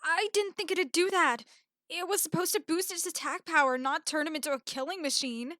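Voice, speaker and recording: Woman as really high sharp-voiced girl blacksmith gamer, kinda defensive, audiobook acting. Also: fairly quick. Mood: flustered, defensive. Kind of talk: amateur audiobook drama character acting.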